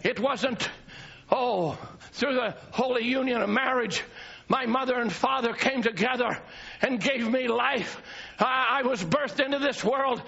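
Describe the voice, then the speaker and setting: A man preaching a fiery sermon to his church congregation, often shouting in a high, strained voice. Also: measured pace, creaky. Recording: dull lo-fi church recording with congregation.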